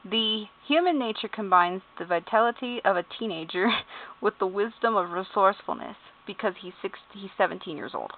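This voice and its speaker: A woman's voice: mid-range voice, normal speed. A woman at home giving casual, amused commentary as she reads game character bios, spelling out names letter by letter, laughing and swearing. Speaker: YouTuber. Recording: tinny phone-like sound.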